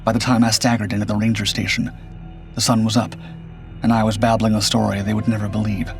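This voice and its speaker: A man tells a suspense story in a grave, measured way. His voice is low and gravelly with fry, and his intonation stays very even. Music plays clearly behind him. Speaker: horror story narrator. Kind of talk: scripted first-person suspense narration. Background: background music.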